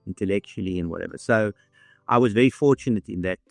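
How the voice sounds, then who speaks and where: Guy in his 60s, lowish voice, dry sound, bit of music under it, takes his time. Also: fast talker, creaky, no echo. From South Africa. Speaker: interviewee. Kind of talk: reflective storytelling.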